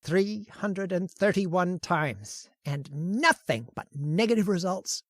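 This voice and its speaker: A woman's voice: fairly low pitch, expressive, takes it slowly, dry recording, clean studio sound. A woman voicing a manic, arrogant male scientist in a radio horror play, bullying his assistant and gloating in frantic outbursts. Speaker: voice actor. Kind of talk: old-time radio drama acting.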